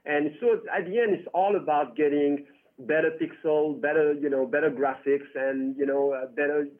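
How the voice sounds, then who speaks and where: A man's voice: fairly high pitch, fairly even intonation, moderate pace. French-accented man on a tinny line. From France. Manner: chatty, fillers, "you know". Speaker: tech company founder, guest. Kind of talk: casual interview.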